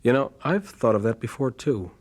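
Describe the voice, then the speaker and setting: An actor playing a good-humored, earnest young missionary husband in a radio drama, with an American accent and a gentle, slightly bashful manner. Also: male voice, medium pitch, expressive, normal speed, breathy edge.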